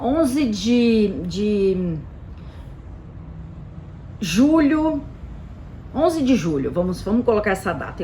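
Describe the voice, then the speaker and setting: Brazilian woman, mid-pitched, chatty explainer, light noise behind. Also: poor audio. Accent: Brazilian Portuguese. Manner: chatty, frequent "né" and "tá" tags, animated emphasis. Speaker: Italian citizenship specialist presenting a channel. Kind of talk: casual explanatory talk to camera.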